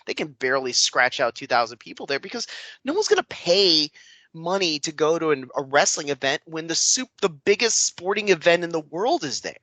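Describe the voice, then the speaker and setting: A man co-hosting a casual wrestling podcast, opinionated and rambling, his voice dropping off quietly at the ends of thoughts. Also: fairly high pitch, sing-song, brisk, dry recording, rough recording. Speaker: podcast co-host.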